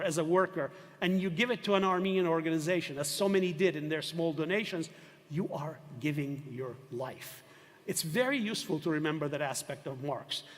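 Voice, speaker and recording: Older male professor, fairly high voice, emphatic lecture delivery with rhetorical questions, hall reverb. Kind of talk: university lecture.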